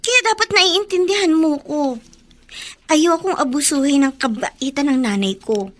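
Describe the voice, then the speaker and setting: An actress playing an earnest, dutiful teenage daughter in a radio drama, insistent and thrifty. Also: female voice, high voice, a little slow. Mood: firm.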